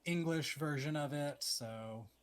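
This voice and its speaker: A man's voice: a little high, slow. Chatty man in his twenties. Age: 20s. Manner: chatty, laughs easily, trails off with fillers. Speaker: podcast co-host. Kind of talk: casual podcast chat.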